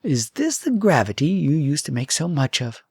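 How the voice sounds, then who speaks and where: A man's voice: a little high, very animated, normal speed, creaky, husky, no echo, studio quality, full character voice. A man voicing a spirited young princess in a storybook, with a prim, proper way of talking. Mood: mildly complaining. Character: spirited young princess, petulant yet affectionate. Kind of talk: storybook character voice.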